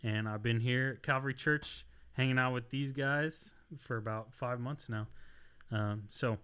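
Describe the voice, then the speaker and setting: A man's voice: mid-range voice, steady tone, measured pace, fairly bright tone, clean tone. A young man, a student pastor, hosting a casual church youth podcast in an upbeat way and hyping up his guests. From Illinois, outside Chicago. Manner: upbeat, hypes the others up.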